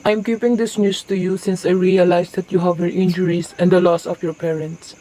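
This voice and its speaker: A man's voice: sits high, flat delivery, fairly quick, mellow, close to an everyday voice, low-quality recording. A man playing a solemn bearer of bad news in an amateur radio drama. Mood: grave. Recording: faint noise with chirping insects.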